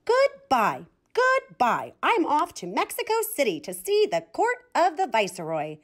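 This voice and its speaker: A vain little rooster chick from a storybook, acted out by a woman. He declaims briskly in a raised, singsong voice with big swoops of pitch. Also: wildly swooping pitch, exaggerated voice. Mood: proud farewell.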